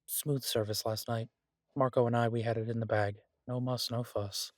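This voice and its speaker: A man playing a devoted, easygoing husband who cooks, talking in a casual, halting, reassuring way in an intimate audio roleplay. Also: medium pitch, flat delivery, no room sound, clean studio sound, lightly acted. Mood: caring. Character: devoted, easygoing husband and cook, gently teasing. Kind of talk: intimate one-sided audio roleplay, conversational.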